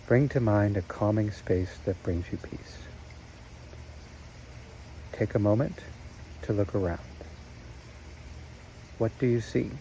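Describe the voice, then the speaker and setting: Soothing low-voiced man guiding meditation. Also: mellow, a little hiss or hum, low-quality recording. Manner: slow, soothing, with long pauses. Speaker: meditation guide. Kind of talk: guided meditation narration.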